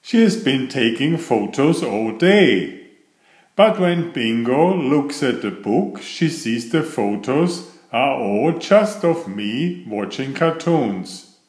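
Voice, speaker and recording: A man reading a children's picture book aloud in a deliberate, expressive storytelling manner. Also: mid-range voice, reverberant.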